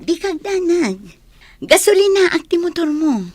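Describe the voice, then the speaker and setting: A boastful, ambitious young village woman with an Ilocano accent. Her voice is very high and swoops widely, drawn out slowly in exclamatory radio drama style. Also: sing-song, a little mellow, no echo, full character voice. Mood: eager, persuasive. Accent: Ilocano, from the Philippines. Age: young adult.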